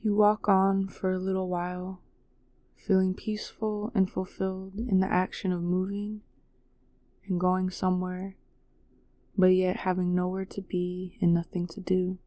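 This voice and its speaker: A woman narrating a guided meditation in a soothing, measured way with long pauses. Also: on the low side, flat delivery, dark tone, no room sound.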